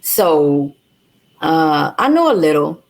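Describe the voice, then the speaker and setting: A woman from Louisiana with a Creole accent, telling her life story as an interview guest in an animated, salty way full of slang and swearing. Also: sits low, takes it slowly, low-quality recording.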